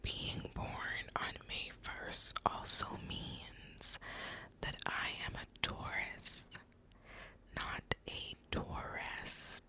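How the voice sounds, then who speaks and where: A young woman from Boston whose first language is Spanish, telling personal facts in a slow, soft ASMR whisper. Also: rough recording. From United States (Boston). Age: young adult. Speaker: ASMR creator.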